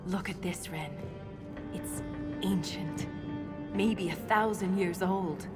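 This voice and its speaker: A principled dwarf historian from a video game, with a fairly low female voice. She speaks in a measured, precise manner with a dry, stubborn edge. Music plays clearly behind her. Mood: scholarly, intent. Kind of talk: video game cutscene dialogue.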